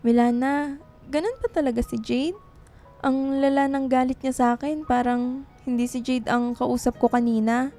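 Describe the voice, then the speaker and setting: A woman's voice: high-pitched, steady tone, normal speed, dark tone, faint music behind. An actress playing a weary, heartbroken young writer and girlfriend in a Tagalog radio drama. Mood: dejected.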